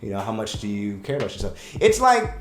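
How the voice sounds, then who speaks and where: A man, a breakup coach, talking casually on a podcast, telling stories with lots of restarts and quick laughs. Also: fairly low pitch, roomy sound, soft background music. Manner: animated storyteller, laughs often, rambling restarts.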